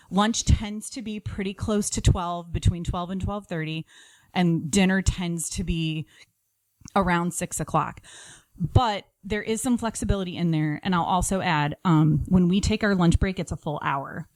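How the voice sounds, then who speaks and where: A woman's voice: on the low side, darkish tone, dry recording. A middle-aged woman giving practical, conversational advice in an instructive, emphatic, plain-spoken way. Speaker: podcast guest giving home-schooling tips.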